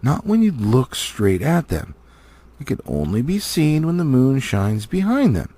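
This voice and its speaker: A man's voice: mid-range voice, huge pitch swings, unhurried, dark tone, pitched above the performer's own voice. A man voicing a stately, learned elf lord from a storybook, solemn and declamatory in his delivery. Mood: instructive. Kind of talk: storybook character reading.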